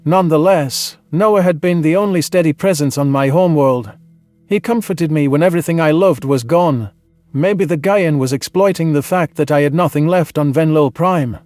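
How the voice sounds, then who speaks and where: A man reading a dramatized science fiction story in the first person, earnest and measured with a dramatic delivery. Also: on the high side, a little mellow. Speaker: audiobook narrator.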